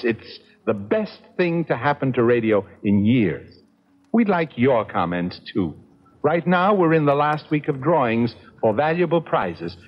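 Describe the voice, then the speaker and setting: Male 1970s radio announcer-producer, mid-pitched, animated intonation, deliberate delivery, muffled old recording.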